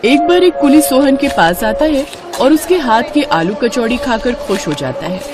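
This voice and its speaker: A woman's voice: high-pitched, sing-song, moderate pace, loud music behind the voice. A woman narrating a scripted moral story as a storyteller.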